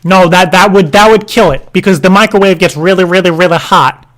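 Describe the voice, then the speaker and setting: A man playing an earnest, clueless, stubborn dad, stammering and deadpan as he repeats himself in an improvised prank call. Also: high voice, fairly quick. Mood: stubbornly puzzled. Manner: hesitant, stammering, repetitive, deadpan.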